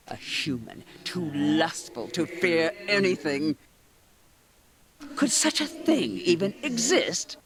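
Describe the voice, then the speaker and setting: A man's voice: on the high side, a bit bright, full character voice. A man playing a haughty, melodramatic eldritch cosmic horror in a video game, speaking in a grandiose, theatrical villain style. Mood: horrified, dramatic. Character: haughty eldritch cosmic horror, menacing yet increasingly flustered.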